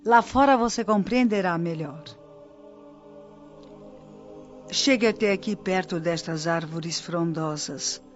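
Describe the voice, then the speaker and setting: A muffled recording with music underneath carries an actress playing an old Brazilian spirit nurse in a radio drama. She is kindly and wise, teaching in a gentle, measured, reassuring way. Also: fairly low pitch, lightly acted. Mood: gently instructive. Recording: dull lo-fi audio with music bed. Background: background music.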